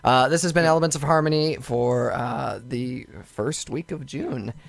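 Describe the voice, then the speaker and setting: A man hosting a live podcast in casual chat, excitable and quick to laugh. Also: mid-range voice, creaky, no echo. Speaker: podcast host.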